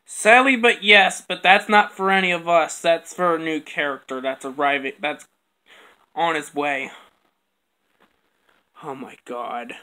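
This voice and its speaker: Quiet, halting toy-show voice. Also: medium pitch. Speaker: home video toy show maker. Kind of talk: plush toy skit with puppet dialogue. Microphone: camera on a bedroom floor.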